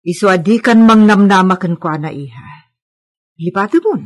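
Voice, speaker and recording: A woman playing a concerned, gently firm middle-aged mother in a radio drama, earnest and consoling. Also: medium pitch, normal speed, lightly acted.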